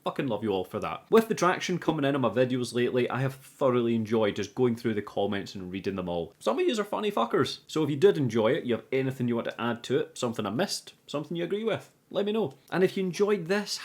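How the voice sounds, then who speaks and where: Young man, cheeky sweary film recapper. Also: mid-range voice. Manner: irreverent, cheeky, chatty asides. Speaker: host of a film review channel. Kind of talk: casual, sweary comedic movie recap and commentary.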